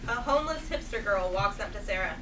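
A woman's voice: medium pitch, expressive, fast. A woman reading scripted stage directions as narrator at a live table read. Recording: distant camera mic, clearly noisy room.

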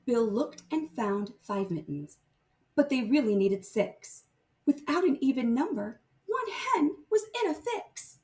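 A woman teaching primary math to young children, reading aloud and explaining deliberately with sing-song emphasis on key words. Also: a little high, reverberant.

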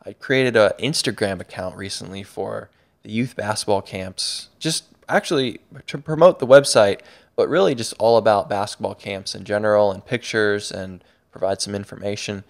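A man's voice: on the low side, moderate pace, creaky. A man narrating an online course over slides, upbeat and a little rambling, with frequent restarts. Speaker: course instructor and website creator.

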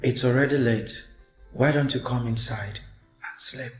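A man's voice: mid-range voice, measured pace, natural voice. An actor playing a remorseful man who pleads with his partner for forgiveness. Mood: pleading. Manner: pleading, apologetic. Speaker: actor in a relationship skit. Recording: echoey room with faint music.

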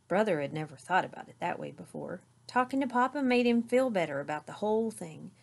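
A middle-aged woman reading a children's story aloud in an expressive narrating style. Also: on the low side, fairly quick, creaky. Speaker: storybook reader. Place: small indoor room with curtain backdrop.

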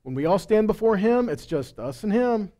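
Mellow middle-aged man preaching conversationally. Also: sits high, big pitch swings, normal speed. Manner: conversational teaching with rhetorical questions and tag words like okay and right. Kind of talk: sermon with scripture reading and commentary. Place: indoor stage of a meeting room. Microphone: headset.

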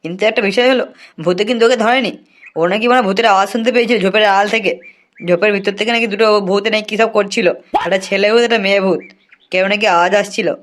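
Man playing a very fast-talking cartoon village sidekick. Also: sits high, fairly even intonation, a bit bright. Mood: gossiping, alarmed. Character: excitable, lecherous village man, sidekick and father. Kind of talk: comic cartoon dialogue.

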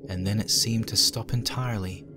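A man with a low voice narrates a horror story. He reads it as scripted dramatic fiction, with music playing underneath. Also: steady tone, normal speed.

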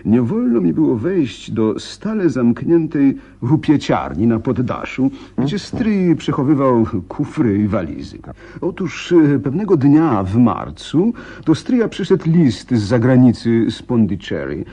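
An actor playing a polite, troubled gentleman client in a radio drama, speaking in a husky, hesitant, earnest way. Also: mid-range voice, sing-song, unhurried, heavily acted. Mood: earnest recounting.

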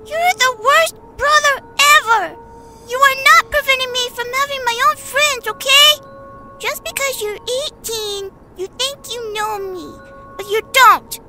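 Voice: very high-pitched, measured pace, over music. A performer voicing an earnest eight-year-old skeleton little brother in a comic dub, childlike, stammering and emotional. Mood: upset, angry. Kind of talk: dramatic comic dub acting.

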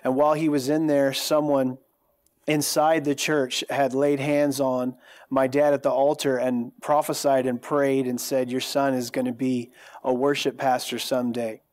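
An American man in his thirties, from California, speaks with little rise and fall in pitch. He tells a personal testimony in a TV studio, picked up on a lapel mic. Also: little pitch movement, normal speed, darkish tone. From California, United States. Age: 30s. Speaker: worship leader, programme guest. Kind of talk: telling a personal testimony in a TV interview. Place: TV studio, seated on a sofa.

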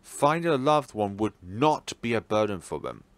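A man's voice: lowish voice, normal speed, no room sound. Man doing an upbeat, earnest teenage schoolboy. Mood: hurt, earnest. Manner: earnest, animated. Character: upbeat, caring teenage schoolboy, stubbornly optimistic best friend.

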